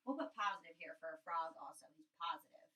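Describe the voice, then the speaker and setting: A woman's voice: deep voice, animated, a little fast, pushed and bright, far from the mic. A woman teacher giving a reading lesson for young children, explaining things and often checking with a questioning tag. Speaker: elementary school teacher.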